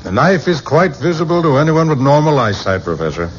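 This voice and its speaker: A man's voice: a little high, very animated, fairly quick. An actor playing a cautious, officious museum man in a 1970s radio drama, formal and a little hesitant. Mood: uneasy, cautious. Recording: dull, noisy 1970s radio recording.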